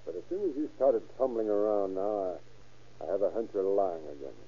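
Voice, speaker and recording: An actor playing a wily, coolly relentless sheriff in a 1930s radio crime drama, needling a suspect in a folksy, insistent way. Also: male voice, on the low side, animated, brisk. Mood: firm pressing. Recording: dull old-time radio recording.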